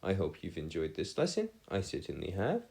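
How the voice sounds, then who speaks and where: A low male voice with widely swinging intonation walks through a lesson as a tutor. His wording is formal and bookish, and he delivers it haltingly, pausing often as he works through the explanation. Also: wildly swooping pitch, darkish tone. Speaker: math tutor. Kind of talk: improvised lesson explanation on trigonometry.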